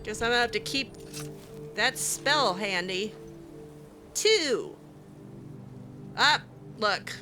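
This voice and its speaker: A woman's voice: fairly high pitch, expressive, slow pace, pauses often, sharp tone, soft background music. A woman playing a game in character, talking in a sarcastic, exasperated, dramatic way. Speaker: let's play host roleplaying her character.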